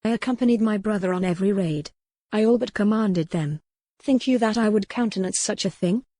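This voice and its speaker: A woman's voice: mid-range voice, fairly quick, warm tone, dry recording, studio quality, natural voice. A woman voicing a proud, fierce young noblewoman in a historical romance audiobook, with impassioned, formal archaic speech. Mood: fierce, defiant.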